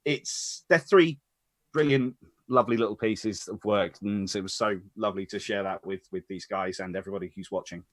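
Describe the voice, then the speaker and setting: A man's voice: on the low side, moderate pace, dry recording. A man hosting an online event from home, speaking informally and unscripted over a video call. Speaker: host of online scratch night. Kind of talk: informal unscripted thanks and announcements.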